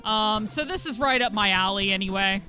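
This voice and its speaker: A young woman streamer with a husky voice, chatting casually with viewers while gaming and thanking them, with frequent fillers. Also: mid-range voice, moderate pace, bright, forceful tone, soft background music, poor audio.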